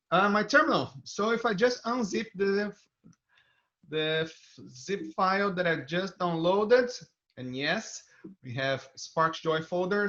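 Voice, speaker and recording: Man, Brazilian accent, fairly high voice, upbeat conference presenter, live demo delivery, dull lo-fi stream sound. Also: a bit bright. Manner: upbeat, enthusiastic. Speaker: developer advocate presenting a tech talk. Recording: dull, band-limited video stream audio.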